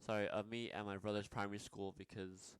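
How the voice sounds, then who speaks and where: A man's voice: lowish voice, flat delivery, a little fast, clean tone, dry recording. A young man from Nepal telling his life story in a rambling vlog, with many fillers and restarts. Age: young adult. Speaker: vlogger.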